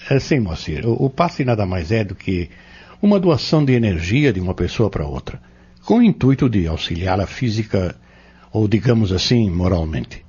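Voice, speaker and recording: An actor playing an earnest, patient spiritual mentor in an audio drama, courteous and explanatory. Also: male voice, fairly low pitch, very animated, normal speed, mellow, breathy edge, highs cut off, heavily acted. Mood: patient, instructive. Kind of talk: audio drama dialogue, didactic.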